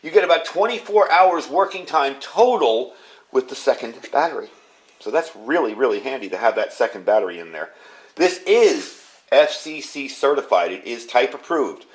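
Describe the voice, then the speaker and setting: Male product reviewer, medium pitch, casual hands-on demo delivery, enthusiastic and informal explainer. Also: animated, moderate pace. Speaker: ham radio product reviewer.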